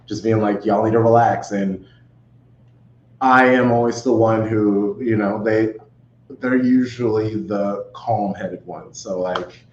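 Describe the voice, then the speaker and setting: Football podcast guest, man, lower register, casual talk, echoey room. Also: fairly even intonation, slow. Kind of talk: casual sports podcast chat. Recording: roomy, dull sound with faint noise.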